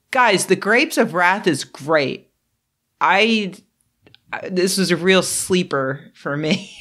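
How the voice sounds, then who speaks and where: A woman's voice: low-pitched, a bit bright. A young adult woman chatting unscripted on her channel, a bit throaty from a cold, rambling with fillers and self-deprecating asides. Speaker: book vlogger. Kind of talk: unscripted casual vlog.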